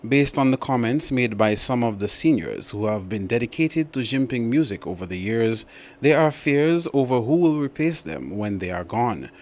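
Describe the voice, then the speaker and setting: Male reporter; scripted news voice-over, even and steady. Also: mid-range voice, moderate pace. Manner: steady read-aloud delivery.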